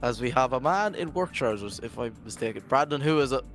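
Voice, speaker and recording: A Scottish man commentating a virtual drift event in a jokey, rambling way, his voice worn and going hoarse. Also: medium pitch, lively intonation, fairly quick, fairly bright tone, soft background music. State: losing his voice. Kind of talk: live esports commentary.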